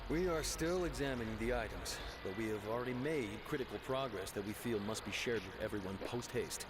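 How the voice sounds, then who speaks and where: A man's voice: mid-range voice, lively intonation, brisk, natural voice. A man playing a dutiful courthouse guard in a video game, reporting in a formal, official way. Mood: official, urgent. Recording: loud noise behind the voice.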